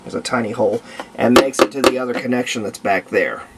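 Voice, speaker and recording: A man's voice: medium pitch, very noisy. Man narrating a radio restoration. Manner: halting, thinking aloud with fillers. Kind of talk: casual workshop narration.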